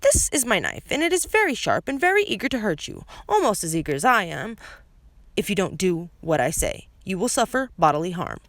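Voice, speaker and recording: A woman's voice: mid-range voice, big pitch swings, a little fast, a bit bright, dry recording. A woman voicing a sneering villainous man. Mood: threatening. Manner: mocking, sneering, theatrical. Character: scheming menacing male villain, adult. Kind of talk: audiobook character reading.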